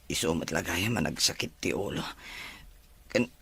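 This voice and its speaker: Man acting animated, forceful Ilocano family man. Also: deep voice, normal speed, gravelly, slightly rough, heavily acted. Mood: weary, subdued. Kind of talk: Filipino family drama acting.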